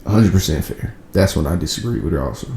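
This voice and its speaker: Give me a laid-back podcast co-host, a man with a lowish voice, chuckling through casual chat. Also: fast talker, gravelly, roomy sound. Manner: relaxed, chuckling, slangy. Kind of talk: casual chat, reading listener comments.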